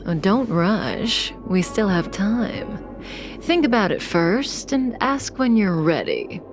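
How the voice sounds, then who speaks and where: A woman's voice: mid-range voice, very animated, takes it slowly. A woman voicing a cool, mysterious, teasing manipulator in a video game cutscene, composed and in no hurry. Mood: teasing, calm. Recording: music bed behind the voice.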